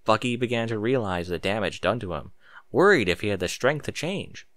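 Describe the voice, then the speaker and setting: A man reading fan fiction aloud as narrator, in an expressive storybook style with a sardonic edge. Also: lowish voice, fairly quick, dry recording. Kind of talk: dramatic storybook narration with casual sarcastic asides.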